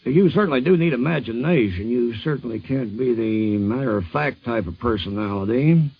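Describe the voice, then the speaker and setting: Gravelly, husky man in interview. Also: medium pitch, animated, moderate pace. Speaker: astronomer and writer being interviewed. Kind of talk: informal, opinionated interview talk. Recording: dull 1950s radio recording.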